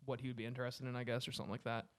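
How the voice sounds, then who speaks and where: A man's voice: medium pitch, little pitch movement, very fast. A man chatting informally with friends, sounding keen and enthusiastic. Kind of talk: casual group chat.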